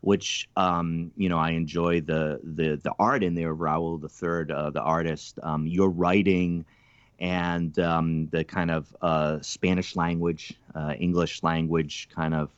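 A man hosting a podcast interview, talking in a halting way with many ums and restarts. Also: low-pitched, flat delivery, smooth, dry recording, dull audio. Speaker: podcast host.

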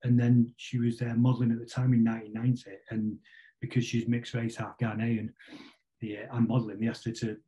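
A middle-aged man with a Manchester accent, chatting casually as an interview guest in a rambling, halting way full of tag questions. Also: mid-range voice, completely flat delivery, warm tone, rough recording. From Manchester, England. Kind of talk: casual conversational football chat.

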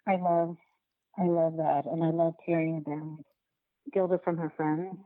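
A woman being interviewed as a documentary filmmaker, talking casually and haltingly, repeating and rephrasing as she thinks aloud, over a remote call. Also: sits low, little pitch movement, dry recording. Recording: phone-like narrow-band line.

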